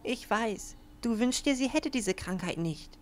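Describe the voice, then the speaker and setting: A woman voicing a gentle toy lion who consoles a child in a reassuring way, in an animated short film. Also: a little high, a little slow, a little hiss or hum. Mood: gentle, consoling.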